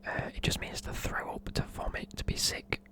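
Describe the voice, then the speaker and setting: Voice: normal speed, fairly bright tone. A person with a British accent whispering informal, chatty, matey explanations in an ASMR style. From United Kingdom. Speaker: presenter explaining slang.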